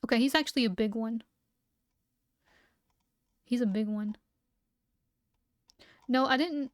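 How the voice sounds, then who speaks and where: A woman streamer with a fairly high voice reads entries aloud and then chats casually between them. She sounds slightly hoarse, as if she has been talking for a long time. The close mic at her home setup keeps the sound dry. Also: dry recording. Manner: skips text with "blah, blah" asides. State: losing her voice. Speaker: livestreamer.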